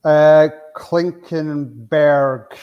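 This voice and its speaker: A man's voice: fairly high pitch, fairly even intonation, drawn-out words, clear, resonant tone, muffled recording. Scottish man, plainspoken podcast guest. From Scotland. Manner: plainspoken, storytelling with frequent "you know". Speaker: business coach guest. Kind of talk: casual podcast interview.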